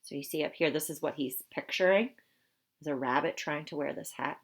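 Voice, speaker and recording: A woman reading a picture book aloud to children in an expressive, teacherly way, explaining things simply. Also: deep voice, a little fast, vocal fry. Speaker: storybook reader for children.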